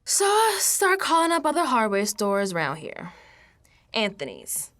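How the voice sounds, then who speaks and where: An actress performs a young American woman in a radio drama monologue, streetwise and unsettled. Her voice sits fairly high and swoops freely. She talks in casual, emphatic slang, as if confiding in a friend. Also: huge pitch swings, unhurried, a bit bright, heavily acted. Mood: resigned, baffled. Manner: colloquial, sassy, emphatic, dropping into whispers.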